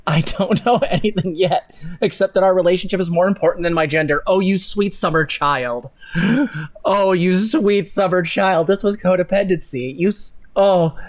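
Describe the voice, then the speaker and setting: Young guy streamer, voice a bit high, mocking dramatic read-aloud w sarcastic swearing, dry room sound. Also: normal speed, no echo. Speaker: live stream host. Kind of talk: dramatic reading with sarcastic commentary. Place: home room, indoors.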